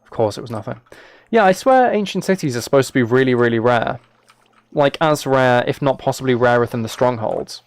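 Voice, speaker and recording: Clear-voiced young British man, casual streamer. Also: medium pitch, animated, fairly quick, no room sound. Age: late teens to early 20s. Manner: playful mock-exasperation, wry sarcasm. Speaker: live streamer. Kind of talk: casual gaming livestream chat, commentary.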